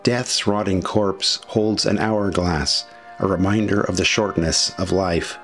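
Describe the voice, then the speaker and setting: A man with a deep, low-set voice narrates a history documentary. His delivery is scripted, formal and measured, with passages of commentary. Quiet music plays underneath him. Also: fairly even intonation.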